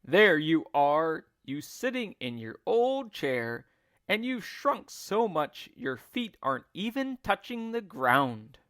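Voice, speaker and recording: A man voicing a gleefully cruel, scheming husband in a storybook, taunting with emphatic, drawn-out words. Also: on the high side, big pitch swings, fairly bright tone, dry recording, clean studio sound, voice pushed up, full character voice. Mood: mocking, loud.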